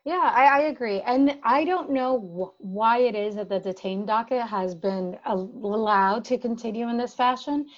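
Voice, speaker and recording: A woman's voice: a little high, moderate pace, few pauses, dull audio. A woman in her thirties from the Dominican Republic, an immigration attorney talking through her work with frequent restarts. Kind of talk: conversational chat.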